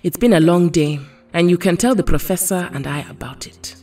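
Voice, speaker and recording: An actress playing a dignified, gentle female superhero in an audio drama, speaking in measured, formal, earnest phrases. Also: on the low side, distant mic, close to an everyday voice. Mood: gentle, resigned.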